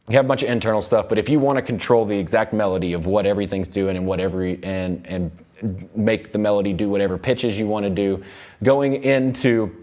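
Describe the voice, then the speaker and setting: Low-voiced man giving an informal tutorial. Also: a little mellow. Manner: loose, rambling, thinking aloud while working. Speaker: music production instructor. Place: indoor recording studio.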